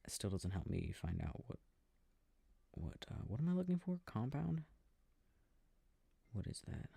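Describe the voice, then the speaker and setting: A man speaking softly and breathily, often near a whisper, quietly musing to himself in an ASMR sleep-aid style while playing a video game. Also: lowish voice, sing-song, a little slow, vocal fry, slightly rough, no room sound. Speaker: audio roleplay performer. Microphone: close microphone. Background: game controller clicking.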